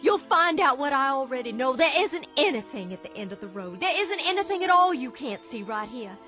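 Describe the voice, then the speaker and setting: A pleading young farm wife. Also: female voice, sits high, sing-song, pushed and bright, soft background music, exaggerated voice. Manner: emotional, pleading, halting restarts. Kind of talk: radio drama acting.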